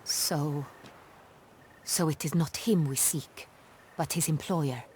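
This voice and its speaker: A woman playing a poised, earnest noble ruler in a fantasy video game, with formal and measured phrasing. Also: deep voice, a little mellow, slight background noise. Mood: composed. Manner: formal, measured, precise wording. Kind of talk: video game dialogue acting.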